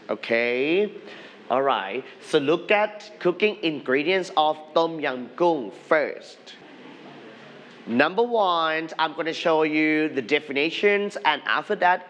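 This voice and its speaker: A male teacher speaking slowly and deliberately, his voice a little higher than most men's. He repeats each question and has the class say words after him, with a faint room hiss behind him in the studio classroom. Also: fairly bright tone. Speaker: English teacher. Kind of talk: classroom lesson broadcast on TV.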